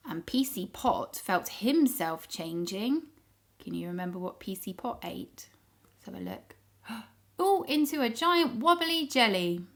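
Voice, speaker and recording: A woman reading a story aloud to young children in an expressive storytelling style. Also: mid-range voice. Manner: pauses to ask the child listeners questions.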